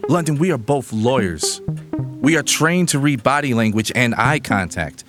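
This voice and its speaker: A man acts out a protective family lawyer in an audio drama. He is earnest and emphatic, reassuring yet insistent. His pitch moves in wide, animated swings. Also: moderate pace, over music, lightly acted. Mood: pressing.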